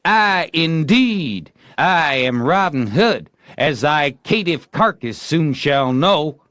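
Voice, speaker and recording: Man acting excitable bossy boy, pitched high. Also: takes it slowly, no room sound, clean studio sound, exaggerated voice. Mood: bold, grandiose. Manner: theatrical, commanding, folksy dialect. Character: excitable bossy young boy playing bold outlaw. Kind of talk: acted storybook dialogue.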